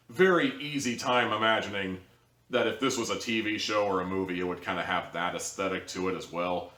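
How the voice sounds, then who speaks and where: Low-voiced man, echoey and distant. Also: fairly bright tone. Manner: rambling, hedging, conversational. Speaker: audio drama reviewer. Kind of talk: casual review monologue. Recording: roomy echo, distant from the mic.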